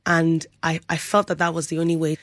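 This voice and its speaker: A woman's voice: deep voice, flat delivery, fairly quick, no room sound, studio quality. Chatty young woman comedian, rambling. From England and Nigeria. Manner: chatty, rambling, many restarts and "like"s. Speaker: comedian guest. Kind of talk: casual interview chat.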